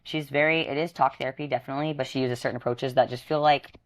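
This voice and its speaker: A woman's voice: extremely low pitch, steady tone, quick pace. Woman in her twenties. Earnest, rambling guest who keeps restarting her sentences. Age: 20s. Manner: earnest, rambling, self-correcting. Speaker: podcast guest. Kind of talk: candid personal testimony.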